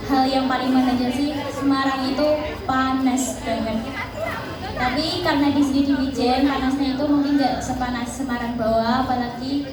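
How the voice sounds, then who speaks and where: Teen girl from Temanggung, high voice, chatty candid answers, very echoey hall. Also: level tone, normal speed. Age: teens. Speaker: student guest. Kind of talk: casual stage interview. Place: school event hall. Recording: echoey distant hall mic, crowd.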